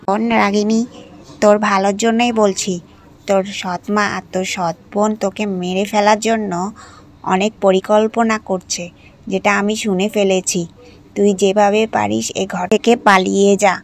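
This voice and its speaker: A woman's voice: medium pitch, little pitch movement, normal speed, lightly acted. A woman voicing a loyal, worried girl friend in a cartoon, earnestly warning the heroine. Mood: urgent.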